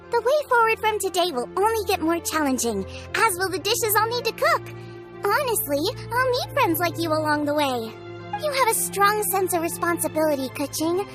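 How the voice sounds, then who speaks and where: A woman voicing an upbeat, easygoing teenage girl chef in a video game, with a girlish, frank and encouraging delivery. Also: very high-pitched. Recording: clean studio voice over game music. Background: tinkly children's-style game music.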